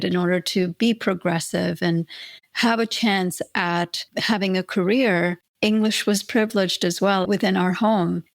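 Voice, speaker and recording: A woman's voice: mid-range voice, dry recording. A woman from India whose first language is Hindi, hosting an interview and sharing personal reflections in an appreciative, conversational way. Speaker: podcast host.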